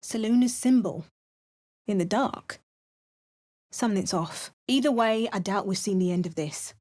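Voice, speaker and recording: Actress playing a British female game hero; dry wit, steady mid-range voice, close booth mic. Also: mid-range voice, a little slow, natural voice. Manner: dry, wry and matter-of-fact. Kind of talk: video game voice lines. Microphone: close studio mic.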